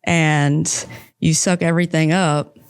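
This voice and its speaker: A woman's voice: low voice, level tone, clear, resonant tone, no echo, clean studio sound. A woman from Texas with a Texan accent, a guest on a podcast interview who chats and banters playfully about home life and her work. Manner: chatty, teasing, quick to banter. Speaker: guest, aggregate plant leader.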